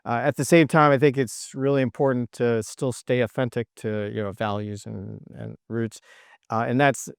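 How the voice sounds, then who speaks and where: Smooth-voiced, hesitant middle-aged man. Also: mid-range voice, fairly even intonation, no room sound, clean studio sound. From Canada. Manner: hesitant, thoughtful, halting. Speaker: podcast guest, technology executive. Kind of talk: reflective interview answers.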